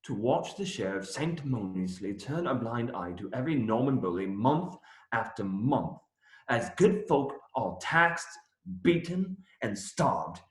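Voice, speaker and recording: An actor playing a young minstrel, cheery by nature but troubled, earnest and folksy in a radio play. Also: male voice, medium pitch, big pitch swings, a little slow. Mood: troubled, bitter. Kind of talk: theatrical radio play acting. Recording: roomy echo.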